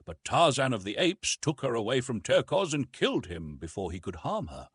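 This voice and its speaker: A man's voice: medium pitch, big pitch swings, normal speed, fairly bright tone, breathy edge, no echo. A man voicing a mighty, proud young jungle man raised by apes, speaking in solemn, boastful declarations. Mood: proud, fierce.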